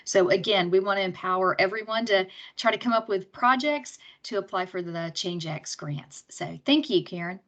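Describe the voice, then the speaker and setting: A woman's voice: medium pitch, normal speed, hardly any pauses, lo-fi sound. A woman who is a program manager, giving earnest remarks over a video call with frequent fillers.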